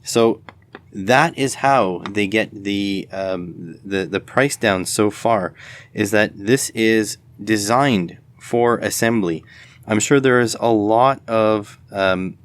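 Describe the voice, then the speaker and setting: A man's voice: fairly low pitch, slow. A man giving casual hands-on commentary as host of an electronics teardown video, tentatively thinking aloud as he goes.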